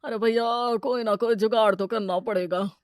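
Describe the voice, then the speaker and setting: A woman plays a greedy village moneylender from a moral cartoon tale. He is brash, scheming and gloating, in a fairly high voice with a very dry sound. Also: fairly even intonation, moderate pace, no room sound, close to an everyday voice. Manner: brash, exclaiming. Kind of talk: animated moral-story character dialogue.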